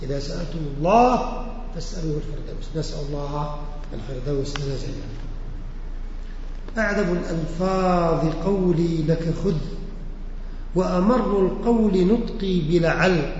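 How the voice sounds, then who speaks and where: A man teaching a religious lesson who recites verses slowly, repeats them and explains their words with questions to his listeners. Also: sits high, darkish tone, a little hiss or hum. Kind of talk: lesson explaining poetry and sayings. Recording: echoey hall, muffled lo-fi recording.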